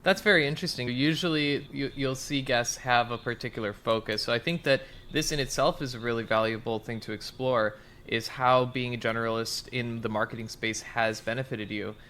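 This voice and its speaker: Male podcast host, bright upbeat voice, middle pitch. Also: fairly quick, few pauses. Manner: upbeat, asks long probing questions. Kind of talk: interview hosting.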